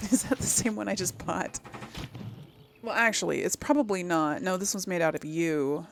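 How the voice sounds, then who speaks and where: A woman's voice: on the low side, normal speed, gravelly, a little hiss or hum. A woman hosting a casual let's play, chattily thinking aloud to her viewers. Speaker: gamer, series host.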